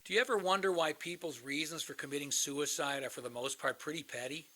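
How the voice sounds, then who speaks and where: A man's voice: fairly high pitch, quick pace, bright, forceful tone. An actor in a radio play, playing a cynical, bitter older man, a world-weary crisis hotline veteran who likes to philosophize. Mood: provocative.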